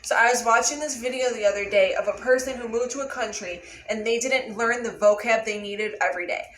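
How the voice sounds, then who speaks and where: A woman's voice: on the high side, a little fast, fairly bright tone. A young American woman from Buffalo, a vlogger chatting casually and explaining things at length. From Buffalo, USA. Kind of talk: casual chat. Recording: echoey room, mic at a distance, faint noise.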